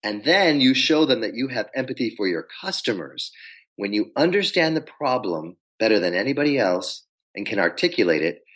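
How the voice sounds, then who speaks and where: A man coaching on pitching in a podcast interview, telling stories and acting out quoted voices. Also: moderate pace. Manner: animated storyteller acting out quotes.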